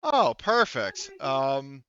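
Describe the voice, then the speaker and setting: A man narrating a tabletop roleplaying game as game master, halting as he thinks aloud, through a muffled mic. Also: mid-range voice, wildly swooping pitch, a bit bright, slight background noise.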